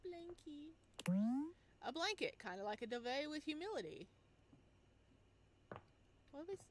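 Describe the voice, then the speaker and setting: A woman with a high voice and very lively intonation, commenting on a game as she plays. She reads on-screen text aloud and breaks into playful, singsong exclamations. There is clear noise in the recording. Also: a little slow. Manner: expressive, exclaiming, singsong asides. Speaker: game streamer.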